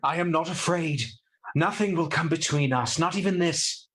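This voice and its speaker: A man's voice: fairly high pitch, normal speed, a bit bright. A man playing a devoted, determined young husband in a radio play, speaking urgently and earnestly in distress.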